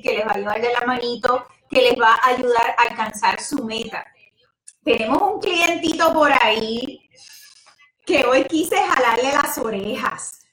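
A woman's voice: sits high, fairly bright tone. A Puerto Rican woman giving casual advice as a host, chatty and familiar, with affectionate diminutives and the odd English word. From Puerto Rico. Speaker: host and home loan advisor. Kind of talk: casual advice talk to a live audience online. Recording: roomy echo, distant microphone.

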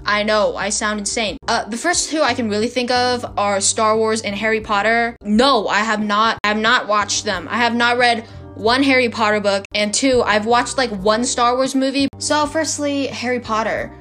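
A woman's voice: fairly high pitch, steady tone, normal speed, fairly bright tone, soft background music. A teenage girl hosting her own podcast, talking in a chatty, dramatic, self-mocking way into her phone, her voice a little worn. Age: teens. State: slightly losing her voice. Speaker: podcast host. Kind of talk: casual solo podcast chat.

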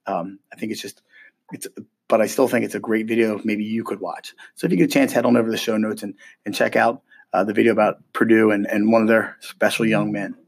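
A man who teaches middle school social studies gives families his weekly podcast update. He is hoarse from a cold and chats casually, with frequent ums and restarts. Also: fairly low pitch, steady tone, fast talker.

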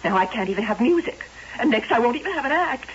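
A woman acting a jealous, wounded, sharp-tongued showgirl wife in an old-time radio drama, plaintive and accusing. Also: fairly high pitch, expressive, fast talker, natural voice. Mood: indignant. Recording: muffled, hissy old radio broadcast.

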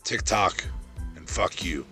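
A man with a deep voice hosting a solo podcast, rambling through a wrestling review with many ums and restarts. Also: lively intonation, takes it slowly, pushed and bright, soft background music. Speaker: podcast host.

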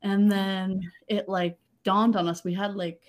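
A woman's voice: medium pitch, level tone, measured pace. A woman co-hosting a podcast, recounting her early married life in a chatty way with frequent "like" and light laughter. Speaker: podcast co-host. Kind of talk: casual podcast chat.

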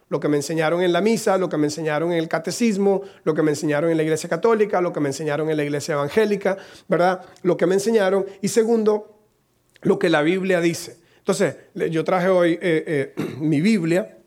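A slightly hoarse man preaching a conversational sermon in a church, with humorous, self-deprecating asides. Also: high-pitched, a little mellow. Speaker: pastor. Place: church in Costa Rica.

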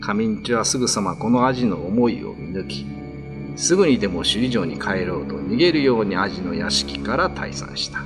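A man's voice: fairly low pitch, lively intonation, fairly quick, over music. A man narrating a classical ghost story as scripted radio drama storytelling.

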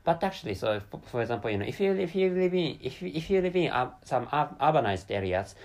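A man's voice: on the high side, big pitch swings, a little mellow. A man with a Japanese accent, a non-native English speaker hosting a solo spoken episode, who halts and restarts often as he searches for words. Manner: halting, searches for words, many restarts and fillers. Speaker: podcast host.

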